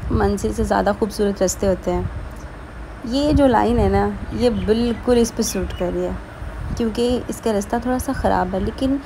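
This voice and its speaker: A woman's voice: mid-range voice, dark tone, a little hiss or hum, low-quality recording. A woman narrating a casual travel vlog, chatty and keen to recommend places to her viewers. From Pakistan. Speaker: travel vlogger.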